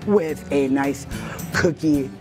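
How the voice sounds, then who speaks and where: A woman's voice: low voice, slow, music in the background. A middle-aged woman who runs a cafe, a guest in a live TV studio interview, upbeat and matter-of-fact, on a lapel mic. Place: TV studio set.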